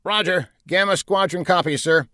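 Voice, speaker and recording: A man's voice: sits high, moderate pace, sharp tone, dry recording, studio quality, voice pushed up. A man voicing an eager fighter squadron captain who gives urgent, clipped military reports in a science fiction audiobook.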